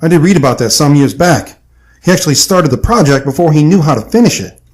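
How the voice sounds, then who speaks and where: An actor playing an earnest, curious adventurer who also narrates, in a husky voice, for an audio adventure drama. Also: male voice, on the high side, lively intonation, quick pace.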